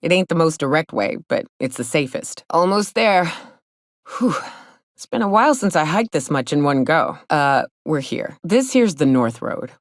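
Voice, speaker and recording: An actress playing a gruff, sardonic, hard-drinking frontier guide and monster hunter in a video game, with a folksy drawl. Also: female voice, low voice, big pitch swings, normal speed, no echo, clean studio sound. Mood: wry guiding. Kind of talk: video game character dialogue.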